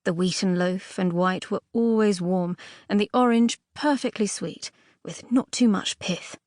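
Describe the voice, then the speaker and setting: A woman narrates a fairy tale in a very dry, close studio recording. Her voice sits at an average female pitch. She reads expressively and a touch archly, leaning on key words and pausing for drama. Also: no echo. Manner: expressive, with dramatic emphasis and pauses. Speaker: audiobook narrator.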